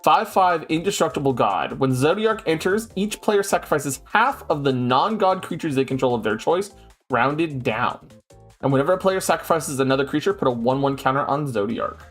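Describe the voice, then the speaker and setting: A man's voice: fairly high pitch, normal speed, soft background music. A man hosting a stream from his home setup, chatting casually and reading card rules aloud. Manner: animated, reads rules text aloud in bursts. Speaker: streamer host.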